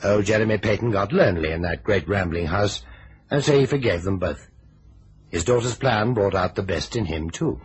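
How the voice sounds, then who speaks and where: An actor playing a thoughtful, measured detective agency boss with a husky voice in an old-time radio mystery. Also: male voice, lowish voice, animated, background noise, natural voice. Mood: reflective. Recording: vintage radio recording.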